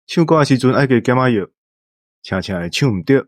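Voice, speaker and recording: A Taiwanese man chats as a co-host, explaining in an informal way. His pitch is average for a man and the sound is very dry. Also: lively intonation, brisk, dry recording. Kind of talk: casual explanatory podcast chat.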